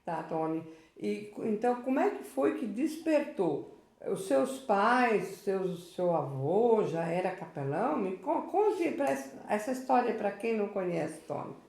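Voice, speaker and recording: A woman's voice: mid-range voice, big pitch swings, a little slow, a little mellow, roomy sound. A woman interviewing a guest informally, prompting him often and repeating his name. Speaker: interviewer, local history host.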